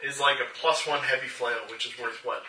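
A man's voice: mid-range voice, steady tone, brisk. A man playing a barbarian at a tabletop game, thinking aloud about gear. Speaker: player. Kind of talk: casual tabletop play. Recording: distant roomy mic with chatter.

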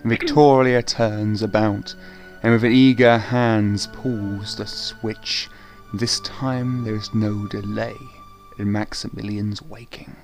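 Slow, theatrical male gothic narrator. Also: fairly low pitch, fairly even intonation. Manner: theatrical, deliberate. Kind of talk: dramatic scripted gothic radio play narration. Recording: faint music behind narration.

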